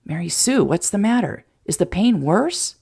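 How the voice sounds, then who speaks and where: A woman reading a protective young man who speaks low and softly with concern. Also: very animated, normal speed, vocal fry, husky, exaggerated voice. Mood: worried, tender.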